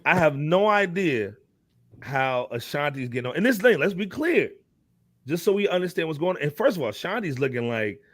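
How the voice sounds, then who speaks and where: A man with a fairly high voice speaks in a dry, echo-free sound. He gives casual commentary as a talk show panelist, in animated slang, and keeps checking that he is being followed. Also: fairly quick. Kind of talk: casual hip hop commentary.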